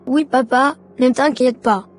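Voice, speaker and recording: A woman as a high, husky cartoon schoolboy. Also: a little slow, soft background music. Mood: obedient. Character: fed-up, open-minded schoolboy son. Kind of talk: animated cartoon dialogue.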